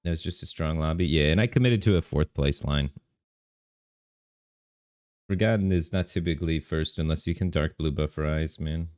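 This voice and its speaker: A man streaming a game live, talking in a relaxed, rambling way with lots of fillers, his voice bassy on a studio microphone at his home setup. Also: moderate pace, long pauses, no echo. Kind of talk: casual live-stream commentary. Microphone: studio microphone through an audio interface.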